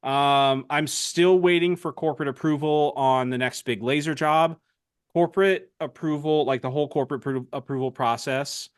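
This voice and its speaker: A man's voice: medium pitch, clear, resonant tone, no echo, studio quality. A man co-hosting a woodworking podcast, chatting casually and joking dryly about his shop projects. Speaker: podcast co-host. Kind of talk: casual chat.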